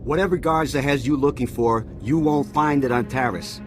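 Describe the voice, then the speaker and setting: An actor playing a sneering army colonel. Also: male voice, mid-range voice, normal speed, soft background music, close to an everyday voice. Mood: scornful. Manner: sardonic, grumbling. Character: sarcastic, dismissive middle-aged male army colonel. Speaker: regimental colonel.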